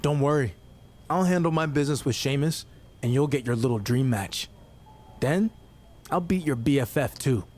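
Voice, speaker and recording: A man's voice: medium pitch, expressive, normal speed, long pauses, a little mellow, a little hiss or hum, close to an everyday voice. A man playing a defiant, sarcastic wrestling champion who needles others in a video game scene. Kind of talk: scripted game dialogue.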